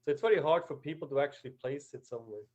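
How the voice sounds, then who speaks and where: A man speaking English with a Swiss German accent, his voice sitting a little high. He talks in a casual, hesitant way, often restarting sentences and leaning on fillers as he chats with a co-host. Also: racing, dull audio. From Switzerland. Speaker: podcast co-host. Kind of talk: casual chat.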